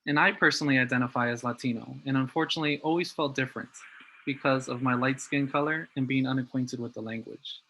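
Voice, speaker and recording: A young man from New Jersey giving a prepared talk in an earnest, steady way. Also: medium pitch, quick pace, a little hiss or hum, rough recording. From New Jersey, USA. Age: young adult. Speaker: student speaker.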